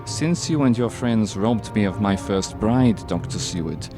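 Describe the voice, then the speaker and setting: Suave undead count, taunting, deliberate pacing, music underneath, theatrical audio drama. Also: male voice, fairly low pitch, expressive. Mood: menacing. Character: suave, menacing male vampire count, imperious and mocking.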